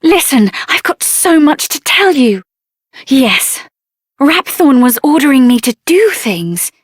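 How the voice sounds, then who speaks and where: A headstrong young adventure heroine with a high-pitched voice, recorded dry and close. She is spirited and earnest, speaking her lines expressively and plainly, as a fantasy video game character. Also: sing-song, slow, no echo, exaggerated voice. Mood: grave, explaining.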